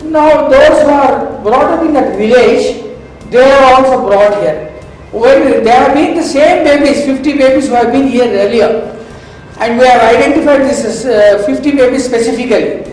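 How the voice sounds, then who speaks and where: A man giving a formal lecture, speaking deliberately with many pauses and fillers. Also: extremely high pitch, a little mellow, slight background noise. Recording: echoey hall, distant mic, faint music.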